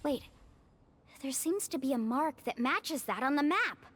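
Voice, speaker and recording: High-voiced young female expedition guide. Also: lively intonation, measured pace, a bit bright, a little hiss or hum. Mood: puzzled. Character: level-headed young woman guiding an expedition, friendly and cautious. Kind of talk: English video game voice acting.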